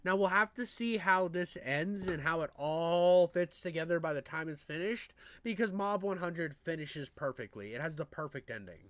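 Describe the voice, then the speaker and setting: A man with a high-pitched nasal voice, reacting to a video in crude, excitable casual commentary, often stammering and restarting. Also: normal speed, few pauses, dry recording. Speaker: reaction streamer. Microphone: on camera, desk mic.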